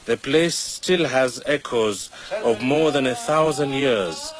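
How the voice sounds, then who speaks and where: An older man from Kenya with a Kenyan accent, a native Swahili speaker, giving measured, reflective documentary narration as presenter. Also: medium pitch, a crowd in the background. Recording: steady background noise.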